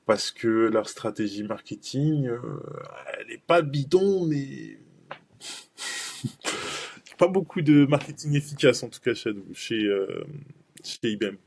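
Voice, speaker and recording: A man's voice: mid-range voice, animated, slow, long pauses. A man presenting a cloud benchmarking webinar in a casual, rambling way, full of fillers and restarts.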